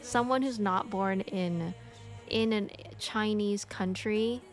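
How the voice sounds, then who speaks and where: A woman's voice: medium pitch, takes it slowly, faint music behind. A woman from the United States chatting casually on a stream, rambling with many fillers and restarts. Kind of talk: casual chat.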